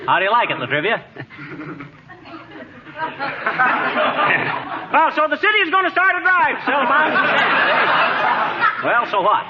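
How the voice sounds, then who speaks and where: Boastful wisecracking husband: American, high animated voice, folksy bluster, noisy old radio comedy with audience. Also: male voice, moderate pace, a loud crowd, exaggerated voice. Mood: eager, boastful. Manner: folksy, slangy, blustering delivery. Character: brash, boastful, wisecracking small-town husband. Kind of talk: 1940s radio comedy acting. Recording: muffled, very noisy 1940s radio, studio audience.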